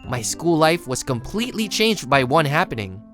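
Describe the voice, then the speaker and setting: A reserved, studious teenage boy played by a voice actor in a manga cartoon. He sounds introspective and self-doubting, with lively intonation at a middling pitch. Music plays softly behind him. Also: moderate pace. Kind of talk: manga video character narration and dialogue.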